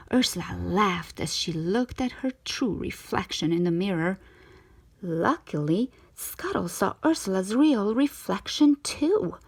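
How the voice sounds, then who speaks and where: Slow, breathy woman reading a storybook. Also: mid-range voice. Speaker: storyteller reading a children's book aloud. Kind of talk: expressive children's storybook narration.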